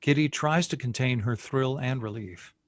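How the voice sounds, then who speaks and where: A man's voice: medium pitch, moderate pace, dry recording. A man reading out scene headings and stage directions as narrator of a screenplay.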